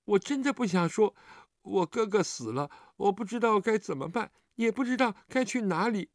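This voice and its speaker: 一名男子在有声故事中扮演一个倔强、胆怯又委屈的丧亲小男孩，说话吞吞吐吐。. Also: male voice, high voice, animated, no room sound, clean studio sound, pitched above the performer's own voice. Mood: aggrieved, tearful. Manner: halting, stammering, plaintive. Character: stubborn, grieving orphan little boy, sulky and timid.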